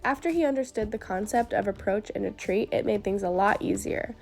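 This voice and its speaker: A woman's voice: mid-range voice, a little fast, gravelly, a little mellow, soft background music. A young woman with a stuffy cold, narrating her horse training in an upbeat, earnest voice-over that explains each step.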